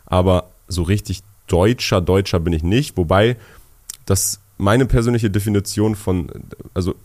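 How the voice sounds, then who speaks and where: A man's voice: sits low, normal speed, a little mellow. A young man from Germany co-hosting a casual podcast conversation, talking in short, restarted phrases with many fillers. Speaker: podcast co-host.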